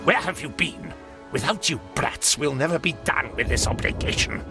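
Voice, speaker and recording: An actor playing a gruff, impatient man in a video game, curt and grumbling. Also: on the high side, animated, normal speed, over music. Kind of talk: video game story dialogue.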